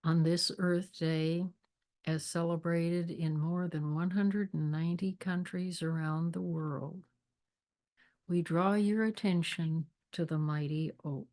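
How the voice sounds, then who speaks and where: A woman leading a guided meditation with spiritual readings, speaking in a measured, deliberate way with long pauses. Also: lowish voice, fairly even intonation, a little mellow. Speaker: meditation leader, spiritual minister.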